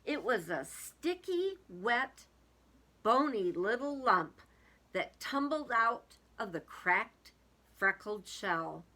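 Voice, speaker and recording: Woman, children's read-aloud, bright tone, very lively intonation, unhurried pace, emphatic storyteller. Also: on the high side. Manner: deliberate, emphatic, dramatic word-by-word delivery. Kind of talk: expressive picture-book read-aloud with explanatory asides.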